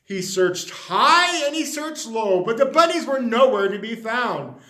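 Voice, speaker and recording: Slow, bright-voiced man reading aloud. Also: extremely high pitch. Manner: expressive, drops to a hush for refrains. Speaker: storyteller. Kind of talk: children's picture-book read-aloud.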